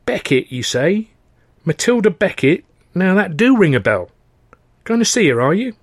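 Guy doing a gruff-mannered london landlady for an audiobook, pitched up, curt n suspicious, dry sound. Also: very animated, moderate pace, dry recording. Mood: brusque, softening. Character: careworn, brusque London landlady, middle-aged working-class woman.

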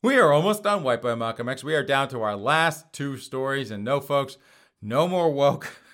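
A hoarse man hosting a show, reading news items and mocking them with frequent laughs. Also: medium pitch, lively intonation, normal speed, a bit bright. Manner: sarcastic, laughs often between phrases. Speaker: podcast host. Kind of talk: casual mocking commentary.

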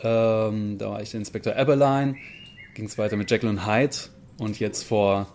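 A man's voice: fairly low pitch, fairly even intonation, normal speed, a little mellow. Man chatting in interview, noisy background. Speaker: stage actor being interviewed. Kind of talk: casual interview chat. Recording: on-location interview with background noise.

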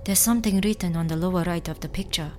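A woman playing an uneasy, observant detective partner in an audio drama, quiet and measured. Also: fairly low pitch, slight background noise, lightly acted. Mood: uneasy, pensive.

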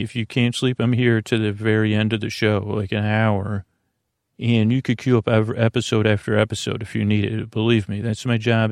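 A man hosting a bedtime podcast, talking in a meandering, gentle way full of fillers and restarts. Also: fairly low pitch, creaky, no room sound, clean studio sound. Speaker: podcast host. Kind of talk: rambling soothing bedtime podcast talk.

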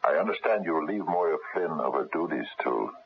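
A gruff, methodical private investigator in a 1970s radio mystery. His voice is extremely low and full of gravel, with a husky edge. He probes in a businesslike, halting manner on a dull, lo-fi recording. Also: expressive, a little hiss or hum. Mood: businesslike, probing. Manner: hesitant, probing, businesslike. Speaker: radio drama actor.

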